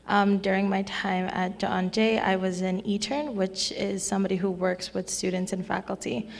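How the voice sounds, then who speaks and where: A young woman on a student panel, earnest and a little hesitant, at a middle pitch. Her voice rings with a long hall reverb. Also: steady tone, moderate pace, gravelly. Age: young adult. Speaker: panelist, recent graduate. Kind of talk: casual panel talk. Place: conference hall at a college.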